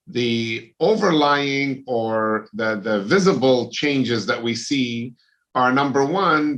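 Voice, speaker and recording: A middle-aged man from Lebanon, a plastic surgeon, answering interview questions in a measured, explanatory way with many ums and uhs. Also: mid-range voice, a bit bright.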